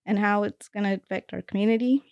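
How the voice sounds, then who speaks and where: A woman's voice: medium pitch, fairly even intonation, quick pace, clear, resonant tone, no echo, dull audio. A woman guest on a casual podcast, speaking hesitantly with many fillers as she thinks aloud. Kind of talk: casual conversation.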